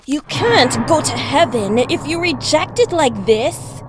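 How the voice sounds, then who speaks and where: A woman's voice: sits high, animated, a little slow, noisy background. A woman voicing a sweetly menacing, unhinged murderous stepmother in a horror animation, with a menacing delivery. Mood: frenzied.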